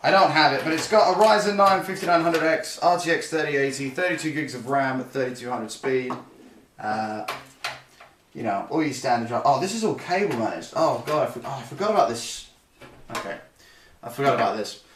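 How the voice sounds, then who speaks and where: A man's voice: medium pitch, expressive, fairly quick, fairly bright tone. A young man chatting casually to his stream viewers as he builds a computer, rambling and correcting himself as he goes. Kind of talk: casual live-stream chat. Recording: roomy, slightly distant, faint noise.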